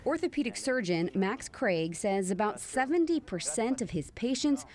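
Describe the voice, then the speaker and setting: A woman's voice: on the high side, lively intonation, normal speed, a little mellow. A woman with an American accent reading scripted news narration as a reporter.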